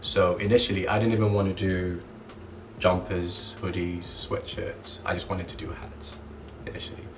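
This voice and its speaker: A young man who grew up in Zambia, a clothing brand co-founder, talking casually and relaxed, with lots of "you know" fillers. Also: sits low, normal speed. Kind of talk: casual interview monologue. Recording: distant mic with clear background noise.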